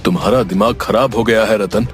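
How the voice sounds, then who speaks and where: A man voicing a hardworking, reproachful elder brother, blunt and disapproving, in an animated moral story. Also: lowish voice, a little fast, soft background music, close to an everyday voice.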